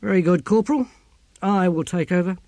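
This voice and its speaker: A man voicing a brisk army sergeant in an audiobook story, authoritative, curt and challenging. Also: sits high, darkish tone, dry recording, pitched above the performer's own voice. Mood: commanding. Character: brisk, commanding male army sergeant, secretly rebellious.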